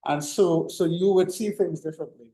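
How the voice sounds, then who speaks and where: Guy lecturing, slightly high voice, lots of ums and restarts, echoey classroom. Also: normal speed, warm tone. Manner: rambling, many fillers and restarts. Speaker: university lecturer.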